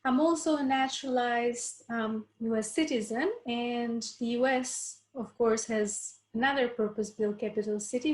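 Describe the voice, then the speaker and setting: A woman from Albania in her thirties, giving a relaxed academic talk on a video call, with many ums and uhs. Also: on the high side. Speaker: panel speaker, urban researcher. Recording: echoey room sound.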